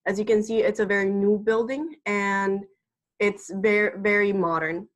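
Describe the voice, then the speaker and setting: An eighteen-year-old woman from the Dominican Republic presenting a webinar as a student, steady and explanatory. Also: mid-range voice, moderate pace.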